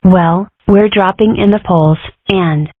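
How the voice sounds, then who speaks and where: A woman's voice: mid-range voice, slow. A woman voicing an earnest, anxious young female dragon who works as a political aide in a cartoon comic dub. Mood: downcast. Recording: thin phone-like lo-fi audio.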